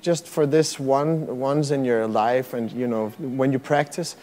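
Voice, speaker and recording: A Danish man in his thirties with a mellow, warm voice. He speaks softly and a bit hesitantly, pausing on fillers as he answers. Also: medium pitch. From Denmark. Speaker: violinist. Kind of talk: interview answers. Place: museum gallery.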